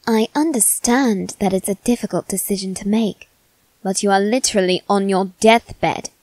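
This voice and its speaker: A woman's voice: medium pitch, normal speed, a little mellow, no room sound, lightly acted. A woman playing a teasing, haughty young male demon servant in a theatrical, mock-polite ASMR roleplay. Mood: impatient, coaxing. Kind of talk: ASMR character roleplay addressed to the listener.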